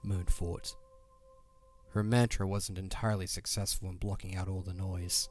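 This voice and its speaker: A man reading scripted third-person story narration as the narrator of an audio drama. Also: low-pitched.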